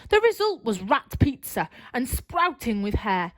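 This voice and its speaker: A woman storyteller narrates a rhyming children's tale. Her voice is high and very animated, and she takes her time with expressive, dramatic phrasing. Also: a little slow.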